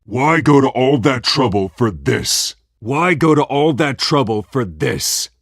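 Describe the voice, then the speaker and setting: An actor voicing a grim, commanding masked vigilante with a terse delivery and gravelly voice in a video game. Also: male voice, mid-range voice, expressive, measured pace, dry recording, studio quality. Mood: stern, demanding. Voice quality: gravelly, husky. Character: brooding masked male vigilante, grim and menacing.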